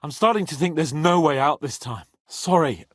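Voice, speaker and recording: Casting: young noble knight, game role. Highish, husky voice, haughty, swinging freely between flippancy and grumbling. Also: male voice, normal speed, no echo, studio quality. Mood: weary, sullen. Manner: haughty, expressive, profane. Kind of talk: video game voice acting.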